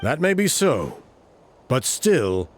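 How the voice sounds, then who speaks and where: Fretful village elder in a game, argues earnestly, pitch moves a lot, faint ambience. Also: male voice, sing-song, takes it slowly, slight background noise. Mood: frustrated. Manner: earnest, argumentative. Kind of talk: video game character dialogue.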